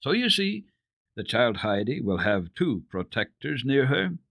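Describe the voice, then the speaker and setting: A man voicing a hearty, grateful gentleman with an effusive, emphatic manner in a dramatic storybook reading. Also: medium pitch, very animated, a little slow, vocal fry, voice pushed up, exaggerated voice. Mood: heartfelt, assuring. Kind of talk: dramatic reading of children's classic.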